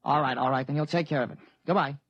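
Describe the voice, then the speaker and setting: A man's voice: on the high side, fast talker, fairly bright tone, dry recording, dull audio. An actor playing an urgent man making a formal, clipped report by phone in a 1950s radio drama. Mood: urgent, official. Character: boy impersonating his father, urgent adult man on phone. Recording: dry vintage 1950s radio recording.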